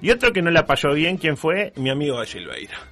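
A man's voice: on the high side, very animated, a little fast, a bit bright. Uruguayan man hosting, ironic and chatty. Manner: ironic, rambling. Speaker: radio show host. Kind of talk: humorous news commentary, casual chat.

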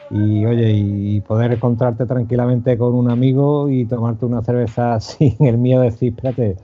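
A man's voice: mid-range voice, flat delivery, normal speed, narrow, tinny audio, soft background music. A man from Huelva, a podcast guest who chats casually and enthusiastically, with many restarts. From Huelva, Spain. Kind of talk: casual chat.

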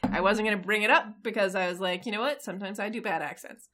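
A woman's voice: medium pitch, quick pace, fairly bright tone. A woman co-hosting a podcast, asking curious questions and guessing at answers in casual chat. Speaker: podcast co-host.

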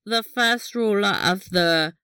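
A woman's voice: medium pitch, slow pace, bright, forceful tone, smooth, dry recording. A woman co-hosting a podcast, chatting casually about a TV episode, laughing often and swearing offhand. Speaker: podcast co-host. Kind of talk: casual recap chat.

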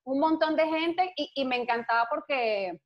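A young woman from Venezuela with a Venezuelan accent, a guest telling stories in an outgoing, animated way and laughing easily. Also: high-pitched, moderate pace. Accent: Venezuelan Spanish. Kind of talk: casual interview chat. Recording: dull lo-fi sound.

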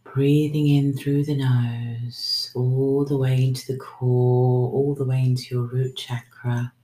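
A woman leading a guided breathing meditation, giving soothing, unhurried instructions that sometimes trail into a whisper. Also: very deep voice, flat delivery, dark tone. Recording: roomy, echoing sound.

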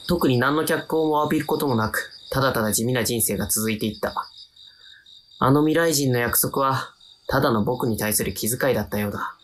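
An actor playing an overlooked, resigned young man in a radio drama, speaking in a flat, subdued way. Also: medium pitch, natural voice. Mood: wistful. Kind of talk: radio drama acting and narration.

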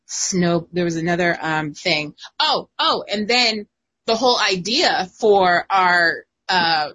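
Woman, 30s, bright husky voice, giggly and animated, casual guest storyteller. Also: fairly low pitch, takes it slowly. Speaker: guest writer. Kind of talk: casual chat.

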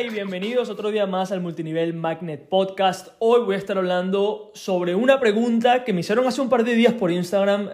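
A young man with a Venezuelan accent hosting a solo podcast, talking conversationally with frequent tag questions and pauses. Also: sits high. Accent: Venezuelan Spanish. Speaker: podcast host.

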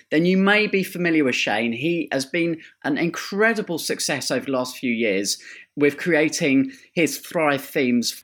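A man hosting a podcast, introducing his guest with an enthusiastic, lively delivery before asking interview questions. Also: a little high. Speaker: podcast host. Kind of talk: upbeat scripted intro then interview questions.

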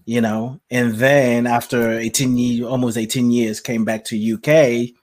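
A man's voice: medium pitch, flat delivery, a little slow, darkish tone. A man who grew up in Nigeria tells his life story as a guest, reflective and laughing often. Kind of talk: personal storytelling in conversation.

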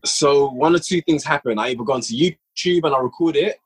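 A man's voice: fairly high pitch, a little fast, breathy edge. A man speaking casually as an interview guest on a podcast, an audio drama writer who repeats phrases for emphasis.

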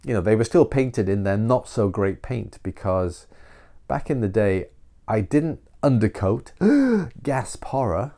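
A man's voice: fairly low pitch, very animated, a little slow, warm tone. Nasal middle-aged man with a cold. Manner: meandering, self-deprecating, many restarts. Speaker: podcast host. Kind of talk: rambling solo podcast monologue.

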